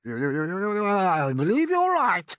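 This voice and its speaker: A man voicing a greedy, excitable middle-aged aunt who exclaims loudly in a storybook reading. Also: sits high, very slow, dry recording, clean studio sound, pitched above the performer's own voice, full character voice. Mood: excited. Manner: loud, exclaiming.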